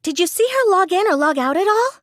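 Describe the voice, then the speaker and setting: A woman's voice: very high-pitched, lively intonation, fast, fairly bright tone, no echo, clean studio sound. A woman playing a timid, earnest, nerdy teenage girl in an English video game dub, hesitant and prone to stammering when flustered. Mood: thoughtful, questioning.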